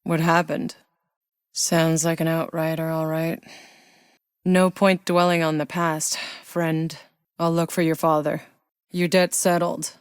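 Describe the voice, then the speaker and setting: Casting: hardened female game soldier, lowish voice, unhurried, wry and gritty, dramatic sci-fi delivery. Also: little pitch movement, clean tone, in a large hall. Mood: grim, guarded. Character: tough, sardonic female sci-fi outrider soldier. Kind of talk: video game voice lines, dramatic acting.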